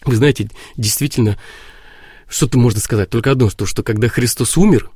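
A man's voice: mid-range voice, a little fast, mellow, breathy edge, no room sound. A man, a priest co-hosting a talk show, reflecting aloud and often breaking off and restarting his words. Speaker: Orthodox priest, co-host.

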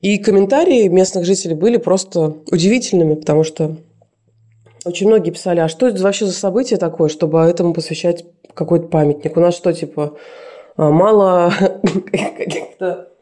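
Hesitant woman with a mellow voice. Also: fairly low pitch, brisk. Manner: hesitant, many fillers, earnest. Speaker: foundation director, guest. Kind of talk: radio interview.

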